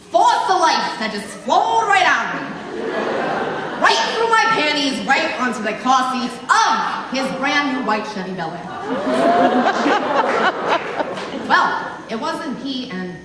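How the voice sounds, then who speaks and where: A woman playing an embarrassed, reluctant old lady in a theatrical monologue, chatty and halting as she reminisces about her youth. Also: a little high. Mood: embarrassed, confessional. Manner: flustered, chatty, halting, exclamatory. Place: live stage in a large hall. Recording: echoey hall from far off, faint noise.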